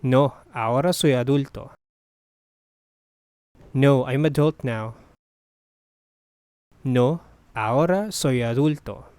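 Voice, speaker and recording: A Spanish teacher's voice reading a bilingual phrase drill, saying each phrase, translating it, then repeating it deliberately. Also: mid-range voice, darkish tone, clean tone, no room sound, clean studio sound.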